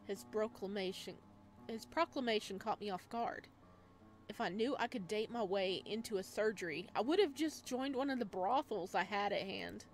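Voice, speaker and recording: A woman's voice: medium pitch, moderate pace, soft background music. A woman hosting a gaming video, reading a visual novel aloud and adding casual, slangy asides. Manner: informal, slangy, reacts in asides.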